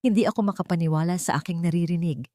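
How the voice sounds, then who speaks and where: A woman narrates a scripted love drama in the first person. Her voice sits a little low, in a close, dry recording with dramatic storytelling delivery. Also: fast talker, dark tone, no room sound.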